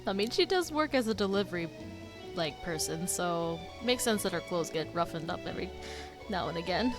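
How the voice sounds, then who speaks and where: A woman's voice: lowish voice, expressive, brisk. A woman streamer giving casual, chatty commentary as she reacts to a game. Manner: chatty, agreeing along, informal. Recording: game music behind the voice.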